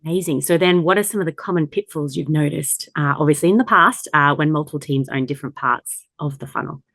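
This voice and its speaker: A woman's voice: deep voice, fast, gravelly, fairly bright tone, dry recording. A woman hosting a podcast, putting questions to a guest in a conversational way. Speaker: podcast host.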